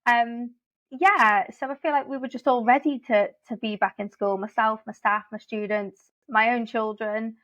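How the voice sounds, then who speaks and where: A woman's voice: fairly high pitch, fairly even intonation, normal speed, fairly bright tone, muffled recording. A woman, an early years teacher, chatting as a podcast guest and laughing often. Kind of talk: casual podcast chat.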